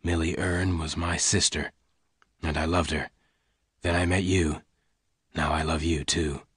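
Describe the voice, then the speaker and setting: A man doing a low, quiet, hard gunman. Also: flat delivery, measured pace, breathy edge, no echo, clean studio sound, exaggerated voice. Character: gruff calm male gunman.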